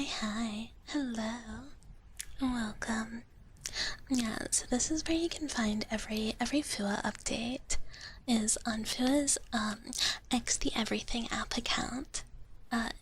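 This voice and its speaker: Girl doing a soft shy dragon big sis, high breathy asmr whisper, kinda halting, bit of noise behind. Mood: soft, hesitant. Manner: hesitant, halting, soft-spoken, refers to herself in third person. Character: gentle shy dragon big-sister streamer persona, speaking in third person. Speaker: ASMR livestream host. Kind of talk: soft whispered ASMR chat with live viewers.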